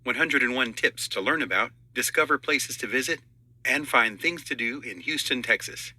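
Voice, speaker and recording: A man's voice: medium pitch, normal speed, pushed and bright, breathy edge, no room sound. A man reading scripted audiobook narration as an audition.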